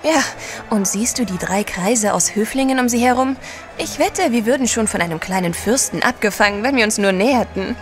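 An actress playing a self-assured, adventurous gentleman's wife, poised and assertive, in a German audio drama. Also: a little high, expressive, a little fast, soft background music, natural voice. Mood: observant.

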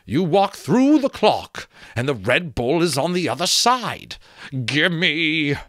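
A man performs a talking skull from a fantasy audiobook, pitched well up and swinging widely. It is wheedling, teasing and worldly-wise, and it draws words out with theatrical relish. The sound is close and dry. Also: big pitch swings, slow, a bit bright, no echo, exaggerated voice. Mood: impatient, mocking.

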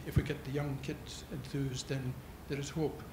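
A Scottish man in his sixties, a native Gaelic speaker and poet, talking in deliberate formal phrases on a handheld mic from an audience. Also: mid-range voice, flat delivery. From Scotland. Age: 60s. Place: large indoor conference hall. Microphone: handheld microphone. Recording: echoey noisy auditorium.

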